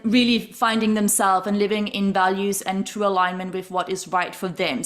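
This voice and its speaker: A woman hosts a podcast in a fairly high voice. She talks effusively and enthusiastically, and laughter bubbles up often. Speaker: podcast host. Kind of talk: podcast interview.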